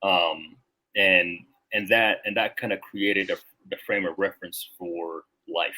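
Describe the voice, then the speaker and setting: A man's voice: deep voice, steady tone, measured pace, sharp tone, poor audio. A man in his thirties from Rwanda, a Marine veteran guest talking casually and reflectively on a podcast, with lots of filler words.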